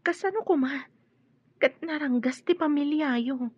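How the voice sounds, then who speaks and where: A woman's voice: high-pitched, unhurried, no room sound. A woman playing a wary, fearful young woman torn by a family feud in a radio drama. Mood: hesitant. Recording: muffled, lo-fi radio drama audio.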